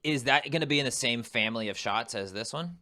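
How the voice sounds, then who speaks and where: A man's voice: mid-range voice, fast talker, sharp tone. A man hosting a casual interview, upbeat and asking long rambling questions. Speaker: interviewer, channel host.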